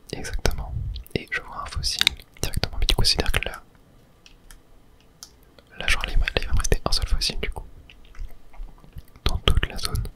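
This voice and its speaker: Someone whispering casual, unhurried ASMR game commentary in a breathy, unvoiced voice, close to the microphone. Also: pushed and bright. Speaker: host playing a video game.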